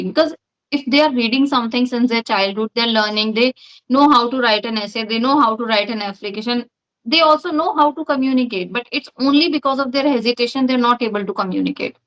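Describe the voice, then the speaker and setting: A woman's voice: a little high, a little fast, a bit bright. A woman in her thirties with an Indian accent, sharing her views earnestly in a casual chat. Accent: Indian English. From Uttar Pradesh, India. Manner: earnest, with short affirming interjections. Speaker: conversation partner. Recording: dull, lo-fi sound.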